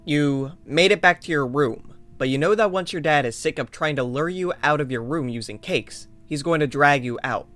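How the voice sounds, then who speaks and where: A man's voice: fairly high pitch, normal speed, hardly any pauses, a bit bright, faint music behind, close to an everyday voice. A man narrating a game-like adventure story in scripted second person for an amateur fandub. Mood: measured storytelling.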